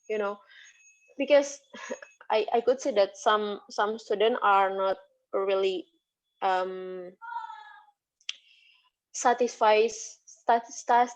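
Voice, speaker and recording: A woman lecturer with an Indonesian accent answering interview questions in English, hesitant and full of fillers and restarts. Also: medium pitch. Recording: dull lo-fi recording.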